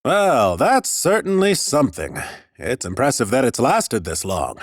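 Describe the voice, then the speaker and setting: Urbane old lich played by a man, lively intonation. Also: medium pitch, a little slow, no room sound, studio quality, voice pushed up. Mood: wry, unruffled. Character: ancient male lich scholar, urbane and wry. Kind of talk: character acting in an audiobook.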